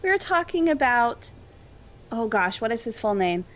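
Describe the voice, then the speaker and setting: A woman's voice: a little high, sing-song, a little slow, muffled recording. A woman speaking as a podcast guest in informal, casual chat, often peppering her sentences with "like".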